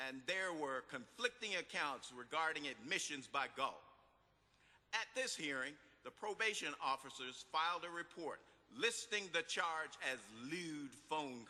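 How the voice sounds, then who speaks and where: A middle-aged or older man reads formally and deliberately to an audience, now and then tripping on a word. His voice is fairly high for a man and strikingly bright and forceful, ringing with the long reverb of a hall. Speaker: reader at a legal commemoration event. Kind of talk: scripted case summary read aloud to an audience. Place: large hall at a public event.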